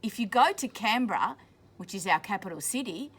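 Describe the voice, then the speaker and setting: A woman's voice: medium pitch, expressive, a bit bright, husky. A woman reads a picture book aloud to children, stopping to explain words in plain terms. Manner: pauses to explain words simply. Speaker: school staff member reading aloud.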